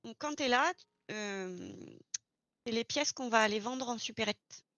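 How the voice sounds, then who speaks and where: A woman's voice: on the high side, expressive, unhurried, fairly bright tone, dry recording, dull audio. A woman explaining things step by step in an informal, casual roleplay chat. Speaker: experienced garage employee training a new recruit in online roleplay.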